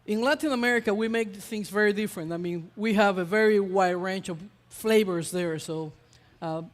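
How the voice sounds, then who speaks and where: Brief: high-pitched man, chef demoing on stage, chatty and halting, long hall reverb. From Ecuador. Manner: chatty, hesitant with many uh fillers. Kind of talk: live cooking demonstration.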